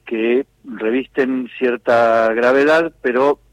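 An Argentine man answering as an official in a radio interview, speaking in a measured, formal, wordy way. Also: medium pitch, steady tone, poor audio. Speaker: government official being interviewed.

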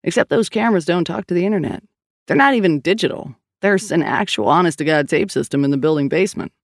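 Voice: mid-range voice, expressive, fast, dry recording, close to an everyday voice. Narrator voicing a weary, sardonic male cop. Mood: matter-of-fact. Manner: dry, sardonic, reluctant. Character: weary, skeptical, blunt male police partner.

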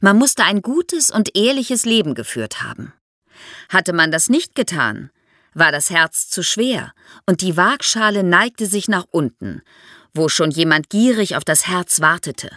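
A woman speaking as an expert podcast guest, explaining things vividly and in clear order for young listeners. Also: lowish voice, big pitch swings, unhurried, fairly bright tone, no room sound, clean studio sound.

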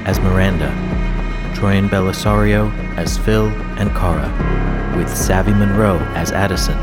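A man reading scripted credits as the announcer of an audio production. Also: low voice. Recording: long reverb, music under the voice.

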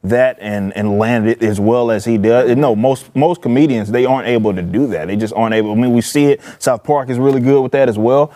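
Emphatic man in casual talk, mellow voice. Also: mid-range voice, fairly quick, hardly any pauses. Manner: emphatic, repeats phrases, colloquial. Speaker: panel guest.

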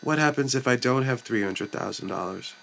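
A man's voice: mid-range voice, a little fast, creaky, fairly bright tone. A man playing a meek, bewildered everyman in an audio comedy drama, polite, hesitant and pleading. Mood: dismayed.

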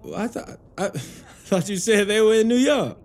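Expressive family man. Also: high voice, moderate pace. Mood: skeptical, uneasy. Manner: folksy, expressive. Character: devoted, wary Southern father, a former slave. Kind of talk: audio drama dialogue.

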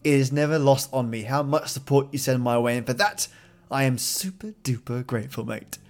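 A man's voice: mid-range voice, fairly quick. A man hosting a podcast, speaking in a colloquial, effusive, matey way. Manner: effusive, with slangy matey address. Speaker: podcast host. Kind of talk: friendly, colloquial podcast outro. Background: plucked guitar music.

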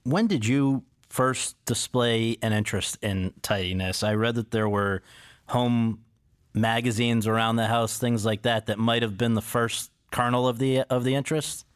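A man's voice: mid-range voice, steady tone, normal speed, smooth. A man hosting an interview podcast, recorded in an office. He moves from a scripted introduction into easy, conversational questions. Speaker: podcast host.

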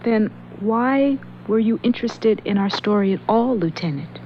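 A woman's voice: mid-range voice, expressive, normal speed, close to an everyday voice. Actress playing a wary, insistent young woman. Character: anxious but insistent young woman, Miss Sims. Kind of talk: 1970s radio drama acting. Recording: dull old radio broadcast recording, faint hiss.

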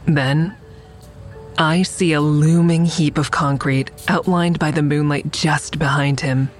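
A woman's voice: sits low, fairly even intonation, slow. An actress playing a curious young woman who narrates her story in an intimate, wry way in an audio drama. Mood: intimate narration. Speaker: narrator and lead character. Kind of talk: audio drama first-person narration and natural dialogue. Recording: faint music behind the voice.

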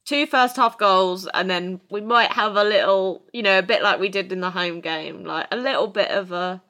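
Bright-voiced woman co-host. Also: medium pitch, normal speed. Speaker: podcast co-host. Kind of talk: casual podcast chat.

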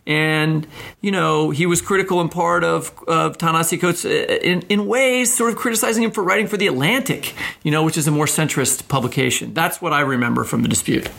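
A man's voice: on the high side, a little fast, vocal fry, fairly bright tone. A man hosting a podcast discussion, talking casually in a rambling way and often checking for agreement. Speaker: podcast host.

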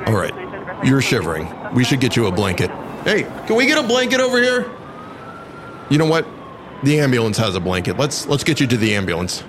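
A man plays a police officer in a realistic audio drama, concerned and steady. His speech is plain and a little halting, at a middling pitch. Cars and outdoor city noise are clearly heard behind him. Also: natural voice. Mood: reassuring. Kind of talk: naturalistic audio drama acting. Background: cars and urban outdoor ambience.

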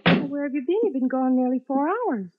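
An actress playing a weary, loving young wife, earnest and gently reproachful, in a 1950s radio drama. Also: female voice, high voice, animated, brisk. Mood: worried. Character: long-suffering, loving young wife and mother, weary, patient. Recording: dull 1950s radio recording.

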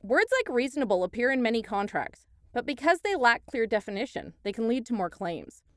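A woman's voice: a little high, sing-song, a little fast, a bit bright, no echo, studio quality. A woman interviewer posing a question to a guest.

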